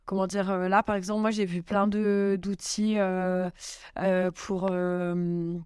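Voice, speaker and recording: A young woman speaks in a smooth, clear voice, answering questions casually and thinking aloud. She hesitates often and restarts, filling gaps with small sounds. The sound is dry and close. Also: mid-range voice, flat delivery, slow, a little mellow, no room sound. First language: French. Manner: hesitant, many fillers and restarts. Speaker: guest. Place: home in Italy.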